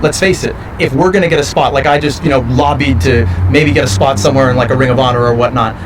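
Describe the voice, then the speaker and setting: A man's voice: mid-range voice, steady tone, quick pace, recorded from a distance, noisy background. A male professional wrestler giving confident, boastful interview answers. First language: not French.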